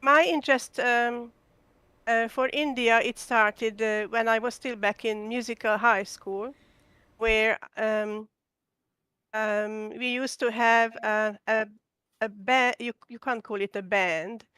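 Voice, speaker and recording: A woman from Hungary chats slowly and hesitantly, stopping on filler sounds and restarting her sentences. Her voice is a little higher than most and very clear, with no room echo at all. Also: steady tone, sharp tone. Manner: hesitant, many ums and restarts. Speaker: guest artist. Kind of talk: casual chat.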